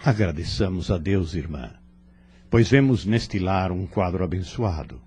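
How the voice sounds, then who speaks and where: A man playing a wise, serene spirit instructor in an audio drama, teaching in a solemn, measured way. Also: sits low, expressive, darkish tone, slight background noise. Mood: tender, reverent. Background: music.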